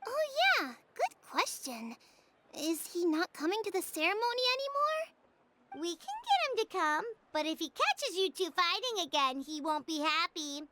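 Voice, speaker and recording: A woman's voice: very high voice, sing-song, moderate pace, noisy background. A woman voicing a tiny floating female companion who is chirpy, blunt and teasing, in a video game dub. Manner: bubbly, bouncy, teasing. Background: game music.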